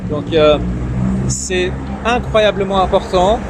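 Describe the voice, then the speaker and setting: A man's voice: sits high, slow pace. A timid young man born in Iran, whose first language is Farsi, speaking in a casual interview with many fillers and repeated words. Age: young adult. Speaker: interview guest, young politician. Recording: noisy street and traffic ambience.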